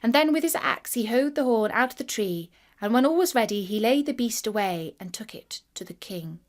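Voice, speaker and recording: A woman narrating a scripted fairy tale for children in a deliberate, expressive bedtime reading. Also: a little high. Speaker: storyteller.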